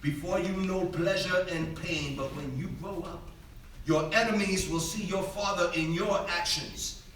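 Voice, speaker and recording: A man's voice: high-pitched, unhurried, fairly bright tone. A man playing a proud, doomed Greek warrior and father, declaiming with command in a staged reading of a tragedy. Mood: proud, solemn. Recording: echoey theater, distant mic, faint noise.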